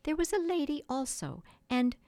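A woman voicing a motherly little girl who tells a story earnestly and grandly, gently scolding and theatrical. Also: high voice, very animated, normal speed, no room sound, pitched above the performer's own voice. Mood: earnest, storytelling.

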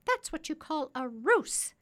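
A woman's voice: high-pitched, sing-song, measured pace, a bit bright, voice pushed up, full character voice. A woman voicing a small, clever, proud female parrot in a storybook, assertive and precise in how she explains things. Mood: indignant, proud.

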